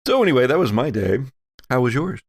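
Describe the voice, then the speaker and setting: A man's voice: medium pitch, very animated, a little fast, creaky, no echo, clean studio sound. A man hosting a podcast, chatting casually and narrating a tabletop game as game master.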